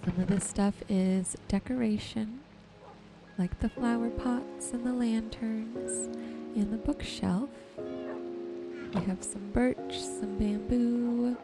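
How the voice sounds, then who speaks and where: A soft-spoken woman giving unhurried ASMR gameplay commentary, musing to herself and her viewers. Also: on the high side. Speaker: gaming streamer and host. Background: music and rain sounds.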